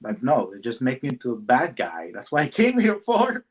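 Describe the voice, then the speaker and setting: A man who works as a casting director and actor, talking casually in a halting, rambling way with many fillers. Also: mid-range voice, wildly swooping pitch, slightly rough. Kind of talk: casual interview conversation.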